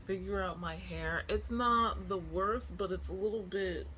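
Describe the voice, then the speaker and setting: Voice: mid-range voice, unhurried, bright, forceful tone, low-quality recording. A middle-aged vlogger talking casually and candidly in a chatty, informal video diary. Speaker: book vlogger. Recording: clear background noise, room ambience.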